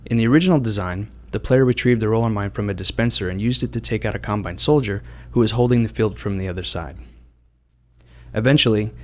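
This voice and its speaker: A man who develops games, giving scripted commentary on design. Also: on the low side. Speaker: game developer.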